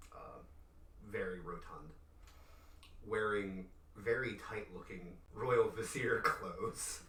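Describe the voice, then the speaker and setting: Guy game master narrating, low bright voice, bit far from the mic in an echoey room. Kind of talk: improvised tabletop game narration. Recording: roomy, distant mic with faint noise.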